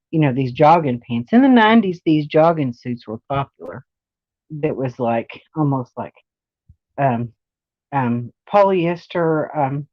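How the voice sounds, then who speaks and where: A woman's voice: deep voice, no room sound, highs cut off. A woman in her forties giving a casual, rambling personal monologue, often circling back to repeat points. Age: 40s. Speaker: vlogger sharing personal experience.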